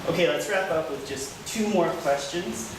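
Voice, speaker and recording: A man moderating a Q&A at a public talk, asking deliberate, measured questions with frequent "uh" fillers. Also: on the high side. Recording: echoey hall, voice far from mic, noisy.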